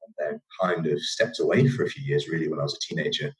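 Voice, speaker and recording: A man's voice: rumbling low, fairly even intonation, fast talker, rough recording. A young man from England with a northern English accent, a podcast guest telling his story casually, rambling with many fillers and restarts.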